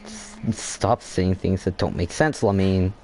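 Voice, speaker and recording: A man's voice: low voice, a little slow, warm tone, a little hiss or hum, poor audio. A young man giving casual gameplay commentary, offhand and reacting aloud as he talks back to the game. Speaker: gaming video creator.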